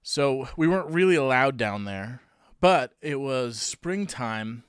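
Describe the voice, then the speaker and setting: A man's voice: mid-range voice, expressive, measured pace, clear, resonant tone, no echo, clean studio sound. A man co-hosting a podcast, telling stories in a chatty way and laughing often. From New Hampshire, USA. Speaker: podcast co-host. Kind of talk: casual chat.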